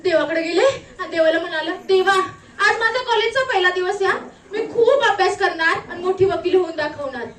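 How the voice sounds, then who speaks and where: A woman's voice: very high-pitched, a little fast, a bit bright. A woman playing an anguished, defiant young acid attack survivor in a melodramatic, tearful theatrical monologue, repeating her phrases. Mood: tearful, wistful. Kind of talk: theatrical one-act stage monologue. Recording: echoey room, distant mic, noisy.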